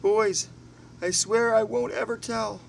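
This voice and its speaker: Man acting a high, desperate begging crook. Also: steady tone, slow, vocal fry, full character voice. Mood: terrified pleading. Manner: pleading, desperate. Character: pleading frightened male criminal begging for his life. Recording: noisy recording, distant mic, vehicle-like hum.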